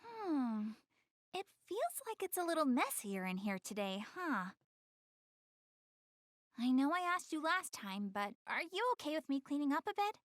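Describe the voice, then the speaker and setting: A woman's voice: sits high, sing-song, a little slow, no echo, studio quality. A woman playing a proud, clumsy, secretly caring anime girl in a video game, chatty, defensive and easily flustered. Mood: casual, friendly. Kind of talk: English-dubbed anime video game cutscene acting.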